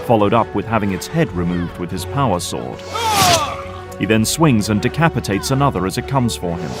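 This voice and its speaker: A man narrating a scripted audio drama. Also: lowish voice.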